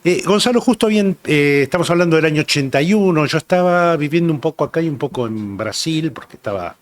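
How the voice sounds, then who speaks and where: A man's voice: fairly high pitch, animated, fast talker. Argentine man in his sixties, rambling. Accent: Rioplatense Spanish. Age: 60s. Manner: rambling, reminiscing, many "eh" fillers. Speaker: rugby journalist guest. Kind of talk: relaxed anecdotal interview chat.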